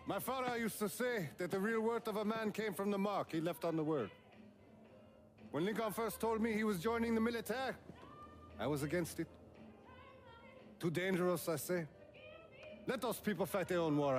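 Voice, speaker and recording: A man playing a proud, warm-hearted middle-aged father figure, folksy and expressive, in a game cutscene. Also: high voice.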